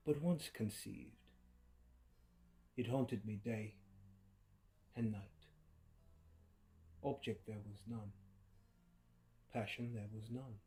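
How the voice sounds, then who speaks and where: A man giving a dramatic horror story reading, swinging between hushed murmurs and sudden loud outbursts, with an unhinged edge and creepy laughs. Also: medium pitch, breathy edge. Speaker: narrator. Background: faint violin music.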